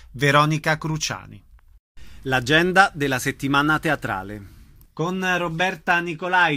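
A man hosting a radio programme, announcing scripted listings in a clearly enunciated presenter delivery. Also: a little high, pushed and bright. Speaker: radio host.

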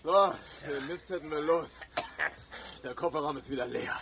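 A man's voice: fairly high pitch, moderate pace, noisy background, natural voice. An actor playing a careless, brusque man in a children's radio play. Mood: careless, brisk.

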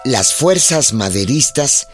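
A man's voice: medium pitch, animated, slow pace. A man narrating a scripted historical radio drama in a solemn, literary storytelling manner. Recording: music behind the voice.

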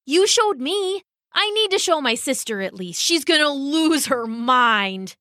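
A woman's voice: high-pitched, very animated, unhurried, pushed and bright, no echo, clean studio sound, voice pushed up, exaggerated voice. A woman voicing an eager, insistent young schoolboy in a children's story, emphatic and pleading. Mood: urgent, pleading.

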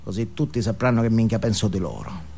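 A man's voice: lowish voice, animated, brisk, gravelly, breathy edge, heavily acted. An actor playing an unhinged, foul-mouthed, alienated man in an intense, volatile comic stage monologue. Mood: sullen, anxious. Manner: intense, volatile, emphatic, swinging from muttering to yelling.

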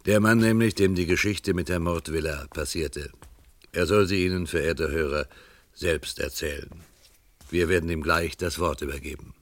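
A man narrating the introduction to a 1970s radio play, formal and measured, setting the scene in short phrases. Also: sits low, no echo.

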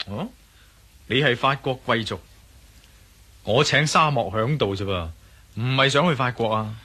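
An actor playing a confident, determined adventurer hero in a Cantonese radio drama, breezy and assured, with a Hong Kong Cantonese accent. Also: male voice, mid-range voice, measured pace, sharp tone.

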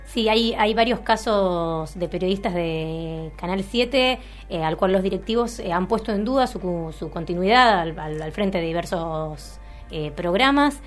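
A woman's voice: lowish voice, measured pace. An Argentine woman co-hosting a radio show, commenting casually and hesitantly as she searches for words. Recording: music bed under the voice. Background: music.

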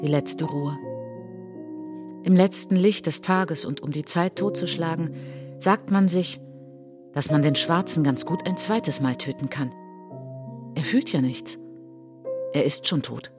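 A woman performing a solemn narrator in a literary radio drama, speaking in measured, dramatic short phrases. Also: sits low. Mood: grim. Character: solemn woman narrator recounting colonial atrocities as a traveller. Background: prominent music behind the voice.

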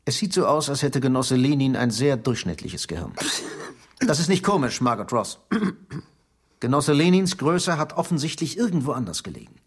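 An actor in a radio drama playing a scheming, secretive Soviet security chief who speaks in a conspiratorial, patronising, insistent way. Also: male voice, mid-range voice, sing-song, moderate pace, breathy edge. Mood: stern.

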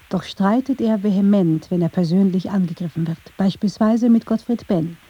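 A woman narrating a radio documentary from a script in a measured storytelling style. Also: medium pitch, warm tone, husky, muffled recording.

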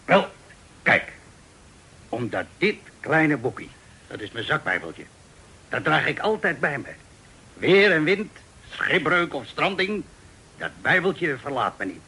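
A man's voice: fairly high pitch, sing-song, slow, long pauses, sharp tone, breathy edge, heavily acted. A man acting a talkative, folksy Dutch sea captain of forty-five in an old radio play. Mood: wry, folksy. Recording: old radio recording with hiss.

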